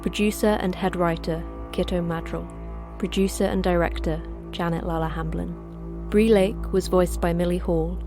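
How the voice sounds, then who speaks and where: A woman's voice: fairly low pitch, steady tone, normal speed, over music. A woman announcer reading scripted podcast credits.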